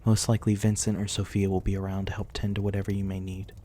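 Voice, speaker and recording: Man playing a deep, gentle vampire gentleman. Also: monotone, quick pace, slight background noise, natural voice. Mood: soothing. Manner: soft, reassuring, politely old-fashioned. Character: gentle, courteous reclusive young-looking male vampire host, 174 years old. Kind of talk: intimate ASMR roleplay acting.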